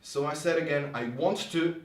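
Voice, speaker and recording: A man's voice: on the high side, moderate pace. Man, literary event reader and host, echoing room, far from the mic, live bar setting. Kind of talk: reading prose fiction aloud to an audience. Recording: roomy, distant live recording with faint noise.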